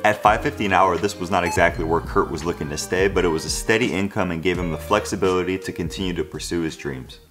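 Deep-voiced young man telling a sports story. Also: fast, hardly any pauses, over music. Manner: conversational, with frequent "you know" fillers. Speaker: sports video host. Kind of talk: scripted sports storytelling to camera. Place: living room of an apartment.

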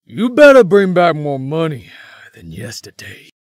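Casting: male actor as lazy, bitter father who belittles his child, highly animated delivery, visual novel. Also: a little slow, no room sound, clean studio sound. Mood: scornful, angry. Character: bitter, lazy, scornful middle-aged father.

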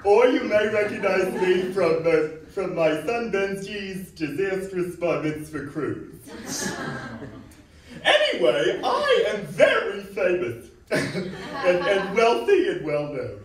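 A woman's voice: mid-range voice, huge pitch swings, slow pace, a crowd in the background. A woman playing a grand, self-adoring celebrity advice hostess. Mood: grandiose. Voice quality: grand, self-satisfied showbiz patter with giggles. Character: boastful self-important celebrity love-advice hostess, middle-aged woman. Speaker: comedy character performer. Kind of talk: improvised stage comedy. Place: small theater stage. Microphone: far room camera. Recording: echoey theater from far off.

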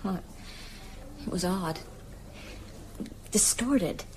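A woman's voice: on the low side, lively intonation, extremely slow, warm tone, slight background noise, rough recording. An actress in a radio drama playing a sceptical, shaken young woman who speaks hesitantly to the man she loves. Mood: shaken, disbelieving. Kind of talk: naturalistic radio drama dialogue.

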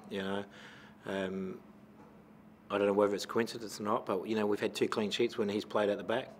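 A middle-aged man with an Australian accent, a football coach answering questions at a post-match press conference into a table microphone, measured and full of fillers. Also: fairly low pitch, slight background noise. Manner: measured, many fillers and restarts. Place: press conference room with sponsor backdrop.

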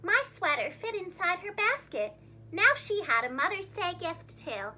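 Very high, bright young woman storyteller. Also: unhurried, slight background noise. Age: young adult. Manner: expressive, dramatic storyteller delivery. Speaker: preschool teacher and storytime host. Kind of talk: animated read-aloud for young children. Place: small indoor room, seated against a plain wall.

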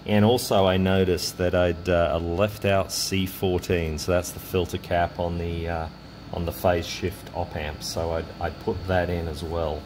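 A man presenting a hands-on electronics demonstration in a casual, halting way, thinking aloud as he works. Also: sits low, a little hiss or hum. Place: indoor electronics workbench.

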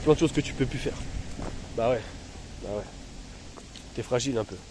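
A man's voice: medium pitch, moderate pace. A man in his thirties in pain, rambling in slangy casual talk to his followers while filming himself on a phone outdoors. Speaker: vlogger. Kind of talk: casual vlog. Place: outdoors among trees and bushes. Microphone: phone held at arm's length. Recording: noisy outdoor phone recording. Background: outdoor ambience.

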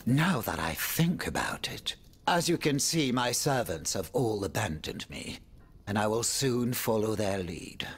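A man's voice: fairly low pitch, big pitch swings, unhurried, husky, heavily acted. An actor playing an urbane, worried, wry French nobleman in a video game, with a courtly, theatrical manner that moves between exclamation and confiding murmur. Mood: troubled, confiding. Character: urbane male French aristocrat, worried, wry, world-weary. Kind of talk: dramatic video game dialogue.

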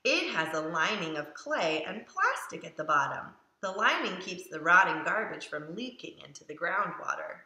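Woman reading as an eager, bright-voiced storybook dog. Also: on the low side, normal speed, few pauses, exaggerated voice. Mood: curious, explaining. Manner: expressive, simple, emphatic storybook delivery. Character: eager, curious family dog narrating his recycling day. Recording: roomy echo around the voice.

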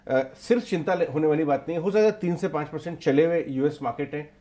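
A middle-aged man with a somewhat high voice anchors a live market show in a TV studio. He explains patiently and repeats his key points to drive them home. He speaks into a lapel mic. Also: quick pace, warm tone. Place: TV news studio.

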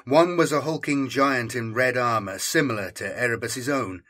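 A man narrating a dark science fiction audiobook in a dramatic, theatrical and ominous manner. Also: medium pitch, normal speed.

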